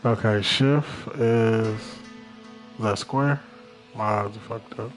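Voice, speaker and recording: A man griping casually as a radio show co-host in heavily profane slang, with music clearly playing behind. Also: lowish voice, slow. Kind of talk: casual chat.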